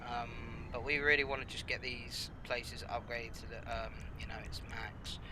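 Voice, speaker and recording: A man with a slight cold talks through his gameplay in a loose, rambling way, stopping often for ums and you-knows. His voice is a little nasal and sits at a middle pitch. Steady game noise plays clearly under him. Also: normal speed. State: has a cold, a bit nasal. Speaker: gameplay commentator. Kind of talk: casual gameplay commentary.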